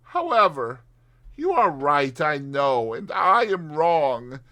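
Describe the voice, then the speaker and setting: A conceited storybook toad, acted by a man. The voice is high and swooping, pompous and melodramatic, like a theatrical gentleman full of himself. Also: very animated, slow, heavily acted. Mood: humbled, emotional. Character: conceited male toad, swaggering, theatrical, self-pitying gentleman. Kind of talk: dramatized audiobook reading.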